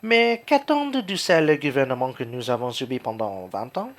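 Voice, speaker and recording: A woman's voice: very deep voice, lively intonation, moderate pace. A woman playing an eloquent, opinionated male political publicist in a radio play, speaking rhetorically. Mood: indignant. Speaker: student actor. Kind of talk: radio play acting in French.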